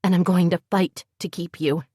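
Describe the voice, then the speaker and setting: An earnest young woman pours out her love, as a woman reads her lines. The delivery is tender and pleading, in a fairly low voice. The sound is close and dry. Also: steady tone, normal speed, darkish tone, husky, no echo.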